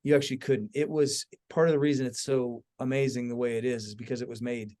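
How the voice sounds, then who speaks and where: A man's voice: mid-range voice, fairly even intonation, quick pace, dark tone. A man hosting a podcast in a casual, conversational style, informal and enthusiastic. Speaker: podcast host.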